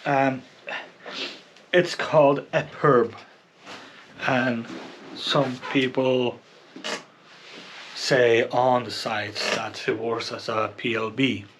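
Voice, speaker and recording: Low-key man rambling over background noise. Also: fairly even intonation, very slow, long pauses. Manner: hesitant, rambling, repeats himself. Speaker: product reviewer and kayak angler. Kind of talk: casual unscripted product review. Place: indoors at home near a computer.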